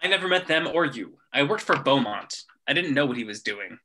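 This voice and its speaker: A man playing an indignant, blustering city councilman in a table read of an animated film, protesting as he talks. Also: mid-range voice, brisk. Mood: defensive.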